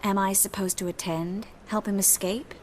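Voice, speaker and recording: An actress playing a poised, sharp young sorceress in a video game, self-assured and gently bossy. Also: female voice, medium pitch, steady tone, normal speed, slight background noise, natural voice. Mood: businesslike. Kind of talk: video game dialogue acting.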